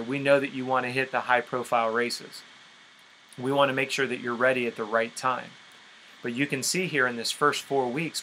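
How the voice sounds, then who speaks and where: A man's voice: medium pitch, pushed and bright, a little hiss or hum, rough recording. A man giving an instructional coaching talk as a sports coach, direct and emphatic, explaining things with rhetorical questions. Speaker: endurance sports coach leading a conference call.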